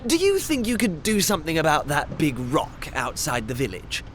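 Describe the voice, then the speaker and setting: A man's voice: on the high side, wildly swooping pitch, normal speed, fairly bright tone, a little hiss or hum. A man playing a hesitant, polite young villager in a video game, with a sheepish delivery. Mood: sheepish, pleading.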